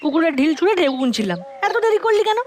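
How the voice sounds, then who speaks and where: Very high-pitched sulky cartoon boy. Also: big pitch swings, racing, darkish tone. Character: sulky, cheeky little boy. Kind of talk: children's cartoon voice acting.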